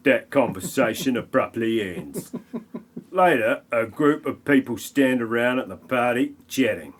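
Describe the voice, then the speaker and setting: A man's voice: medium pitch, animated, unhurried. A man narrating an amateur comedy audio play with wry, mocking asides.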